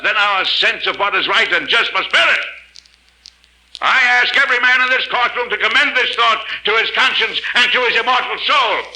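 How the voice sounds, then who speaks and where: A man's voice: high voice, sharp tone, heavily acted, rough recording. An actor playing an earnest, principled man who pleads for animals, speaking formally and persuasively in an old-time radio drama. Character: earnest male animal-welfare advocate, principled. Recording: faintly hissy old radio recording.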